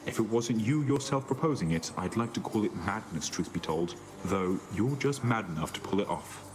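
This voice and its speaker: A man's voice: lowish voice, expressive, normal speed, background noise, natural voice. An actor playing an amiable, deferential man in a fantasy game, courteous, chatty and easygoing. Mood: friendly. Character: amiable, deferential condemned king, cheerfully chatty.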